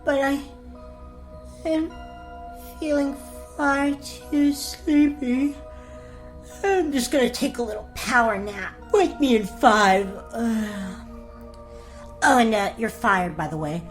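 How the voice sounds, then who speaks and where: A woman's voice: a little high, takes it slowly, pauses often, faint music behind. A woman voicing a spoiled, airheaded young princess in a comedic fairy-tale parody, haughty and whiny. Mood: sleepy, dismissive.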